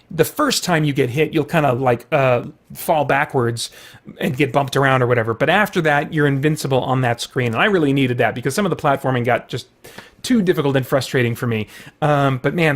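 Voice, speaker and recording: A man's voice: mid-range voice, a little fast. A middle-aged man hosting a video with casual, rambling, enthusiastic chat full of loose asides. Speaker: video host.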